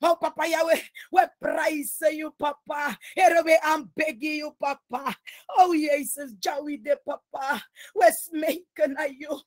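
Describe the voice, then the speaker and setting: A woman's voice: high-pitched, steady tone, unhurried. A woman praying in an impassioned charismatic style, pleading and crying out in repeated phrases. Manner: pleading, repetitive, often crying out. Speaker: person leading fervent prayer.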